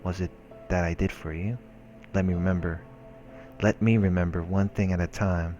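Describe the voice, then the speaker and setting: Male narrator, very low register, solemn scripted read, faint music underneath. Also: little pitch movement, moderate pace, a little mellow, lo-fi sound. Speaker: let's play host reading a visual novel aloud. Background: game music.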